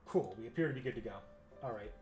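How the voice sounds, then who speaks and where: A man's voice: mid-range voice, animated, fast, darkish tone. A man hosting a livestream, chatting casually with viewers and rambling as he thinks aloud. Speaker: livestream host. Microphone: desktop mic at a computer. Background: music.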